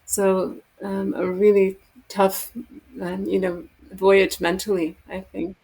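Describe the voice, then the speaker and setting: A woman, co-author of a book, giving a measured, reflective answer in an interview. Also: medium pitch, little pitch movement, mellow, slightly rough, rough recording. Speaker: guest, co-author.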